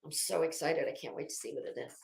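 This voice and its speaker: A woman's voice: sits low, fairly even intonation, fast talker, gravelly, very noisy. A woman reading documents aloud dramatically, breaking off into sarcastic, brash, profane commentary as an online host. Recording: echoey room.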